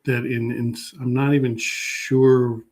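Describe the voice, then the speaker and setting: A man talking casually as an interview guest, halting and unassuming as he thinks aloud. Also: medium pitch, little pitch movement, darkish tone. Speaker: guest public historian.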